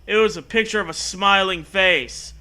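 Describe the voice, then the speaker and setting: High-voiced man, piercingly bright, hosting comedy: theatrical, sweary, sarcastic story reading with joking asides. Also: normal speed. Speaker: comedy show host. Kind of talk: mocking read-aloud of a story with joking asides.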